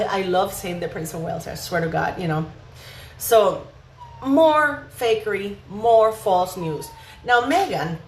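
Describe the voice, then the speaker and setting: Female vlog host, mid-pitched, indignant and emphatic commentary, echoey room, faint noise. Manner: emphatic, indignant, rhetorical questions. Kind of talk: opinionated royal gossip commentary.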